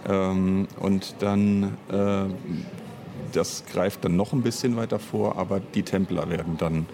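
A man's voice: low voice, fairly even intonation, unhurried, creaky, mellow. A man speaking unscripted as an interview guest, an audio drama author and director who reflects hesitantly and searches for words.

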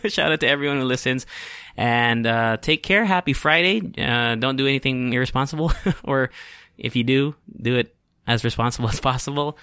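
Chatty Filipino man, bright and dry. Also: medium pitch, smooth, no echo. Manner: rambling, chatty, self-deprecating; mixes in Tagalog words. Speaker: podcast host. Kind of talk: casual solo podcast monologue.

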